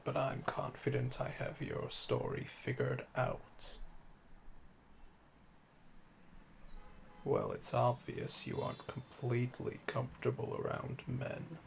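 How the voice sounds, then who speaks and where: Guy doing a calm yandere hitman asmr, condescending n seductive, heavy vocal fry, pitch swoops way down into murmurs, bit of background noise. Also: exaggerated voice. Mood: confident, analytical. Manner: slow, deliberate, seductive, condescending, dropping to breathy murmurs. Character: calm, flirtatious male assassin, smug, obsessive genius. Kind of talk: intimate ASMR roleplay monologue.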